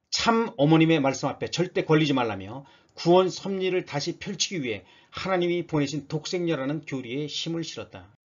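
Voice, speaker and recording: A man's voice: a little high, highs cut off. A man narrating scripted news for an online channel in a formal, read-out announcer manner.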